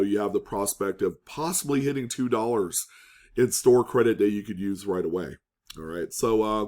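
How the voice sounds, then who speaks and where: A man hosting a coin market show, talking casually with an upbeat manner and lots of fillers, his voice raspy and slightly hoarse. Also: fairly low pitch, a little mellow. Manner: upbeat, filler-heavy talk with many "um"s and "uh"s. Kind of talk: casual presenting of auction results.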